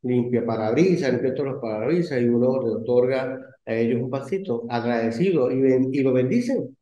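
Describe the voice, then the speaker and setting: A middle-aged Venezuelan man leading an online class discussion as professor, speaking in a measured, hesitant way with many fillers. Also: mid-range voice, mellow. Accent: Venezuelan Spanish. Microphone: video call. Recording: echoey lo-fi video call audio.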